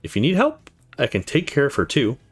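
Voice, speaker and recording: A man's voice: mid-range voice, huge pitch swings, a little fast, husky, soft background music, voice pushed up. A man voicing a caring but prying young woman in a visual novel, insistent and concerned. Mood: concerned, eager. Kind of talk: visual novel dialogue read aloud.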